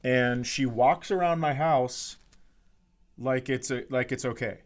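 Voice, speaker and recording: A middle-aged American man chatting casually as a comedy podcast host, with an animated, profane, ranting manner. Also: mid-range voice, slow pace.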